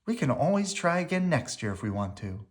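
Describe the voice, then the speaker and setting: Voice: mid-range voice, sing-song, brisk, voice pushed up, natural voice. A storybook reader voicing a modest, worrying young boy bear cub in a plain, subdued manner. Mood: resigned.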